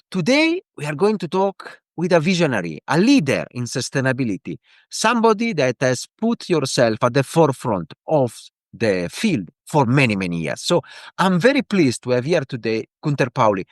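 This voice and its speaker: A man's voice: fairly high pitch, very animated, moderate pace, no echo, clean studio sound. A man hosting an interview show, introducing his guest with effusive praise. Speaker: podcast host.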